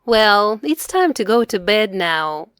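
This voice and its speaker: A woman voicing a loving, cheerful, gently teasing mother in a children's storybook, with affectionate expression. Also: mid-range voice, sing-song, slow pace, clear, resonant tone, dry recording, studio quality. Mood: playfully firm. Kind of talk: children's storybook read-aloud.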